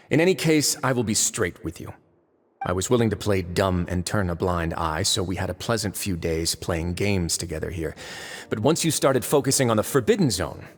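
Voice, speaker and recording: An actor playing a suave, calculating fortress lord in a game, speaking with measured courtesy and veiled menace. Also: male voice, lowish voice. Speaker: voice actor in a dubbed video game. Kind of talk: theatrical game cutscene dialogue. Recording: long reverb under game music.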